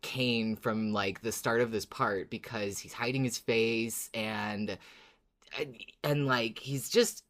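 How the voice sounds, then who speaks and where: A woman's voice: rumbling low, fairly even intonation, slow pace, fairly bright tone. A woman co-hosting a podcast, talking casually as a fan about a story. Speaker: podcast co-host. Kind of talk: casual fan discussion of an audio drama.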